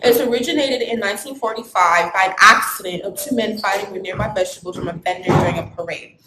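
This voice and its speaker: A woman's voice: mid-range voice, fast talker. A woman giving a casual school presentation and explaining things with fillers along the way. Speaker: student presenter. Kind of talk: classroom presentation. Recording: echoey room with noise.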